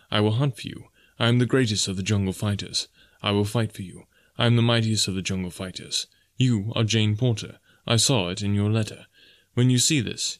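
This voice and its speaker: A man's voice: fairly low pitch, dry recording, clean studio sound, lightly acted. A man voicing a proud, devoted wild jungle man in simple, boastful declarations in an audiobook.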